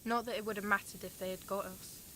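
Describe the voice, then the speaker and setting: A woman's voice: mid-range voice, steady tone, fast talker, sharp tone, slight background noise, natural voice. A woman playing a grieving older sister who has lost her children, speaking with shaken grief. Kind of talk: amateur radio drama acting.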